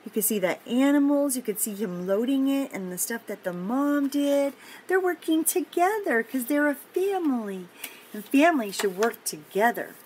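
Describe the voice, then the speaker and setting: An older woman reading a picture book aloud to children, pausing for simple, encouraging chatty asides about the pictures. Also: sits high, animated, a little mellow, slight background noise, low-quality recording. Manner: encouraging, explains pictures simply to young listeners.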